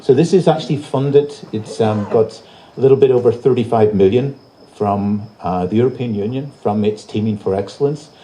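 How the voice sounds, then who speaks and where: A man giving a public lecture as an invited speaker, presenting slides in a halting, pausing delivery. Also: fairly low pitch, dark tone. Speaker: invited conference speaker, robotics researcher. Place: live talk at a festival venue. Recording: noisy live event recording.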